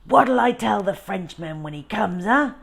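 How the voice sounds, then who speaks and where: Storybook dad, female reader: stern, angry, sharp and forceful in scolding, measured pace. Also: medium pitch, lively intonation, gravelly, breathy edge, exaggerated voice. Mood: furious. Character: stern, furious father scolding his young son. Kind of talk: children's storybook character voice.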